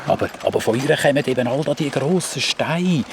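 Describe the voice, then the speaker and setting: A man's voice: mid-range voice, animated, a little fast, creaky, background noise. A man with a Swiss German accent voicing a young adventurer who rambles and repeats words as he explains things. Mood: explaining. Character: Sämi, a curious young cart-riding adventurer.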